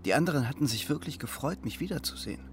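A man's voice: medium pitch, expressive, very fast, noisy background, lightly acted. An actor playing an uneasy Cold War double agent who tells his own story in a crime radio play. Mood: brooding, tense. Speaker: actor, narrator and lead role. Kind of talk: first-person crime radio play narration.

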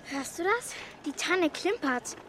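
An actress playing a lively, cheeky orphan girl in a children's radio play, eager, bold and insistent. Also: extremely high pitch, slow pace, full character voice. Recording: studio radio play with music.

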